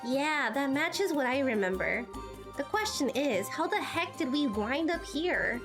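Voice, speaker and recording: A woman's voice: sits high, lively intonation, measured pace. A woman streamer doing amateur voice acting of dialogue from video game cutscenes. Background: music.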